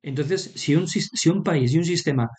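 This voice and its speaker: A man with a Madrid Spanish accent giving unscripted political commentary over slides, halting, drawing out words and often adding short checking tags. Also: fairly high pitch, darkish tone. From Madrid, Spain.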